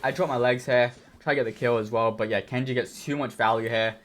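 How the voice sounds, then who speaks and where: A man with a hoarse, worn voice casually commenting on his own match, easygoing and rambling with many fillers. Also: mid-range voice, fairly even intonation, fairly quick, a bit bright. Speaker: esports player reviewing his own match.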